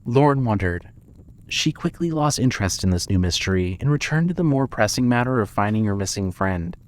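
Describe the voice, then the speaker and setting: A man's voice: on the low side, animated, brisk. A man narrating a scripted dramatic story for a fiction podcast.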